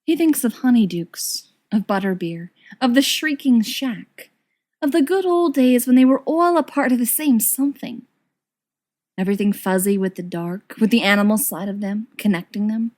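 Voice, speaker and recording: A woman reading a story aloud as an expressive scripted narration. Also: a little high, moderate pace. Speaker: audiobook reader of fan fiction.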